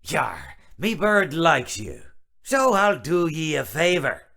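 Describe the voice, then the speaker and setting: An actor voicing a gruff pirate animatronic in a video game, raspy and gravelly, with a stage pirate accent. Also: male voice, a little high, huge pitch swings, takes it slowly, sharp tone, heavily acted. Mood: gruff, wry.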